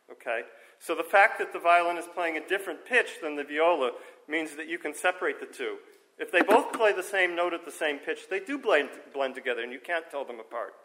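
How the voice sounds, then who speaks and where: Conference lecturer, male, slightly high-pitched strong voice, informal and self-correcting, says "okay?" often. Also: bright, forceful tone, echoey room, rough recording. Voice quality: strong speaking voice. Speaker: conference speaker. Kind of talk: technical lecture on hearing and audio. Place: lecture room with audience. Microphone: handheld or stand microphone.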